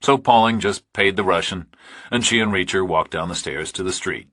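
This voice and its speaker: A man narrates a thriller audiobook from a script. His voice is very low and husky, and the recording is close and dry. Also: normal speed, a bit bright, no room sound.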